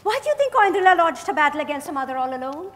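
A woman's voice: sits high, big pitch swings, racing, a bit bright. A woman on stage playing a probing, commanding female investigator, with pointed and insistent questioning. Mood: stern, accusing. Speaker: stage actor. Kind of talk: dramatized play reading on stage. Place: large hotel ballroom stage. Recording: echoey hall from far off.

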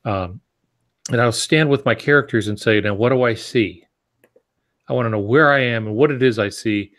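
A man's voice: medium pitch, moderate pace, a bit bright. A man in his sixties giving casual, reflective advice as a podcast co-host on a video call from a home office. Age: 60s. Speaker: podcast co-host, experienced writer. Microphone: video call on earphones.

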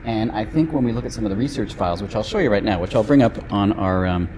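Middle-aged male host, low voice, quick talker, librarian interviewing a guest, faint room noise. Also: animated, a little mellow. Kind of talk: conversational interview.

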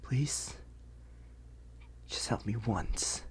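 A young man playing an anxious, bewildered teenage boy turning werewolf in an ASMR audio roleplay, speaking haltingly in a husky, breathy half-whisper. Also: mid-range voice. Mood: anxious, pleading.

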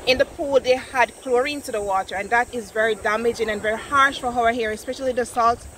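A young woman with a Jamaican accent talks to the camera in a friendly, chatty way, walking through her routine step by step. Her voice sits a little high, and there is a faint outdoor hum behind her. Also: fairly quick, a bit bright. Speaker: natural hair vlogger. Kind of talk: casual chat to camera. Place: outdoors on a rocky beach. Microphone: camera in front at close range.

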